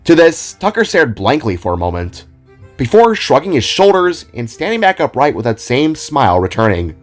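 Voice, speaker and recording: Guy reading story narration, really animated mid voice, light music underneath. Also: medium pitch, moderate pace. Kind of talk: scripted audio drama narration. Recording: music behind the voice.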